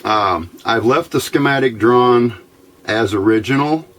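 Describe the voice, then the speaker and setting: A man giving an informal, somewhat halting hands-on electronics demonstration as the host. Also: medium pitch, fairly bright tone. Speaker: host of electronics tinkering videos. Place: indoor workbench.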